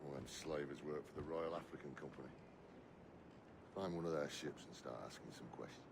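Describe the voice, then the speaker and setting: Gravelly, furious pirate captain. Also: male voice, very deep voice, fairly quick, noisy background. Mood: grim, gruff. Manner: gruff, snarling and mocking, bursts into furious shouts. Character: fierce, foul-mouthed male pirate captain. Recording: game score under the voice.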